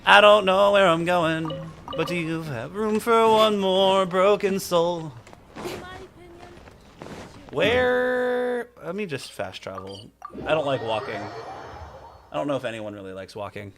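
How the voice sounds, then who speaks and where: A man with a bright, forward voice streams casual game commentary. He rambles freely, talks back to the characters and leans theatrical. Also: sits high, a little slow, vocal fry, pauses often, faint music behind. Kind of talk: casual running commentary over game dialogue.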